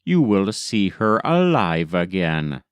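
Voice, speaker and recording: A man voicing a helpful old man in a storybook tale, giving calm, deliberate instructions. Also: low voice, smooth, dry recording, exaggerated voice. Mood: instructing. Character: helpful old man, calm guide with magical know-how. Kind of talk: storybook reading.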